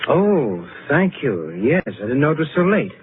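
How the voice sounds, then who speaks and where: An actor playing a polite, self-assured, cryptic gentleman storyteller in an old radio drama, brief and courteous. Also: mid-range voice, sing-song, normal speed, lightly acted. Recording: tinny, noisy old radio recording.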